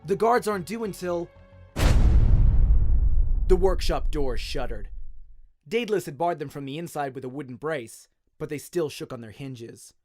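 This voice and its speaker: Guy narrating an adventure story, dramatic, lightish voice, soft music behind him. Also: fairly high pitch, moderate pace. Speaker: narrator, voice actor. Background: music and sound effects.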